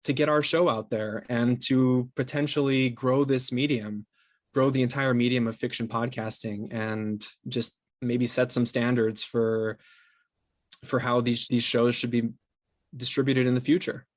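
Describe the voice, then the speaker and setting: Male interview guest, medium pitch, explains conversationally with trailing pauses and repetitions; dry sound. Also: fairly even intonation, dry recording. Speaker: interview guest, podcast co-founder and sound designer.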